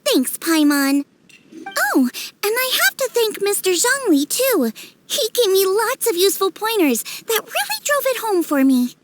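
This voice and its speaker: A woman's voice: very high voice, big pitch swings, unhurried, slight background noise, exaggerated voice. A woman voicing a cheerful, modest teenage girl chef in a video game, chatty and friendly. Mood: grateful. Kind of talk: dubbed video game cutscene dialogue.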